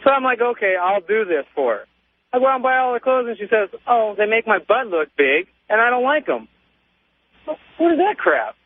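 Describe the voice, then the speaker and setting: A male caller on a narrow, tinny phone line. His voice is high for a man, and he talks in loose, slangy, informal language. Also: very animated, moderate pace, dry recording. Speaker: radio show caller. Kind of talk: casual storytelling. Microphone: telephone.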